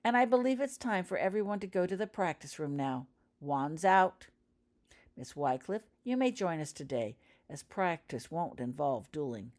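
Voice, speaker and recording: Woman doing a calm lady magic teacher in a storybook, measured and firm, voice moves up and down. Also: deep voice, voice pushed up. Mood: serenely firm. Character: serene female magic-school professor, composed and firm.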